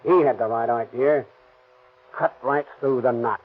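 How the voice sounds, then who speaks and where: A man's voice: medium pitch, fairly even intonation, a little slow, natural voice. An actor playing a ruthless, bossy gangster in 1930s radio crime acting, talking American tough-guy slang. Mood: cool, scheming. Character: ruthless, cocky gangster planning and bossing a robbery. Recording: scratchy, noisy, narrow-band 1930s radio.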